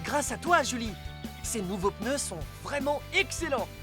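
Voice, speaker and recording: Brief: keen, polite cartoon police car, fairly high voice, lively delivery, music behind. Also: female voice, measured pace. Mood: eager, grateful. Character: eager, polite talking rescue police car. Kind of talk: French-dubbed children's cartoon.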